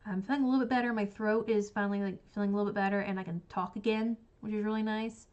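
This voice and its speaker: A woman's voice: mid-range voice, steady tone, very fast, darkish tone, highs cut off. A woman, congested and sniffly from a cold, chatting in a rambling way about books on a livestream. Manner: rambling, chatty, full of likes and ums. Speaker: book channel host. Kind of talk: casual livestream chat.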